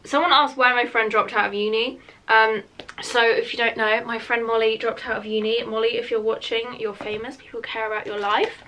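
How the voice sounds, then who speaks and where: A woman's voice: on the high side, little pitch movement, fairly quick, sharp tone, a little hiss or hum, poor audio. A twenty-year-old woman with a British accent, chatting informally to camera as a vlogger, often saying "like". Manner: informal and chatty, heavy use of "like". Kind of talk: casual get-ready-with-me chat to camera. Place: small room at home, indoors. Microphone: camera a metre or so away.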